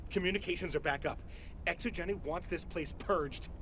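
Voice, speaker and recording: An actor playing an agitated, ruthless corporate official in a video game, stammering and jumpy. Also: male voice, sits high, a little fast, slight background noise, poor audio. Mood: menacing, tense. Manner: jittery, stammering, shouting. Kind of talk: dramatic video game acting.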